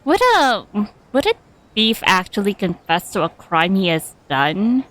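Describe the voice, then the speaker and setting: A woman's voice: medium pitch, lively intonation, a little slow, a bit bright. A woman streaming a game with casual commentary, hesitantly thinking aloud as she goes.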